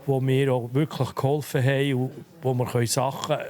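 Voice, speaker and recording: A man in his fifties with a Swiss German accent, a restaurant leaseholder giving measured, businesslike interview answers. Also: medium pitch, flat delivery, darkish tone. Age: 50s. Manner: measured, businesslike, with slips of word order.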